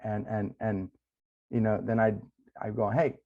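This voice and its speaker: Man with a muffled low voice. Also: unhurried. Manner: relaxed storyteller, many restarts. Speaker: podcast host. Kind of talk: casual interview chat. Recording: dull lo-fi sound.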